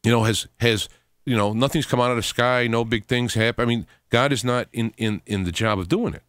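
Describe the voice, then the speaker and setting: A man hosting talk radio, speaking informally and earnestly in a halting monologue, often repeating and restarting his words. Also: medium pitch, fairly bright tone, clean tone, dry recording, studio quality. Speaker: radio show host.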